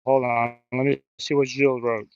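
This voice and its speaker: A man's voice: medium pitch, level tone, moderate pace, no room sound, muffled recording. A man with an American accent chatting casually on an outdoor live stream, rambling and joking at his own expense. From New Jersey, United States. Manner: rambling, self-deprecating, joking. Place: outdoors in a river in a park.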